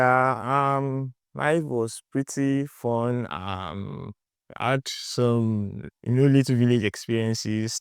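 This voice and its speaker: A young Nigerian man speaks as a student interviewee, his voice smooth and clear. He wanders through his answers with pauses and fillers, and the sound is dry and close. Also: medium pitch, darkish tone, dry recording. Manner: hesitant, rambling, many fillers.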